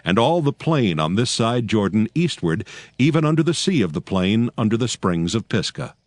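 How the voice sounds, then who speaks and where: A man narrating scripture in archaic English, reading formally and in a measured storytelling way. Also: on the low side, very animated, no echo.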